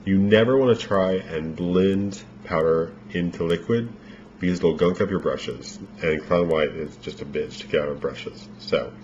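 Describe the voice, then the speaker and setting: A low-voiced man talks through a makeup tutorial in a loose, rambling way, his voice a bit worn as if he is losing it. The recording is dull and lo-fi, with a faint hiss behind him. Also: unhurried. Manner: relaxed, rambling instructions with fillers.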